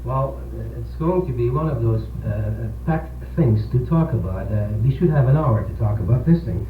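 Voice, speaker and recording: A man, a priest co-hosting a local radio discussion, speaking earnestly and haltingly with repeated words on a 1970s recording. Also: mid-range voice, lively intonation, distant mic. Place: radio program, distant-sounding and echoey. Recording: tinny, echoey 1970s radio aircheck, humming noise.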